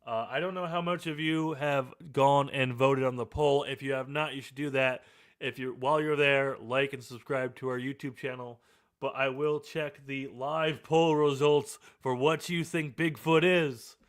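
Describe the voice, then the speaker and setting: A man's voice: medium pitch, a little slow, smooth. A man hosting a podcast after-show, talking casually and rambling with fillers. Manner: relaxed, rambling, many fillers. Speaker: podcast host.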